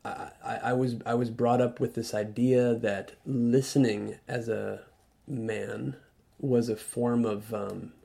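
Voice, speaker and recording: A man's voice: mid-range voice, little pitch movement, creaky. A man speaking as a guest on a radio show, earnest and reflective, often restarting his sentences. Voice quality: loud voice. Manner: earnest, halting with many restarts. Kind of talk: reflective conversational talk. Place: radio studio.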